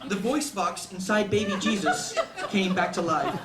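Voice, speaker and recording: A man, an author, reading his humorous short story aloud to an audience as an animated comic storyteller. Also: high-pitched, normal speed, a crowd in the background. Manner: animated comic storyteller, acts out quoted lines. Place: indoor bookstore reading event. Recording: echoey bookshop room, distant mic, audience noise. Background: laughing audience.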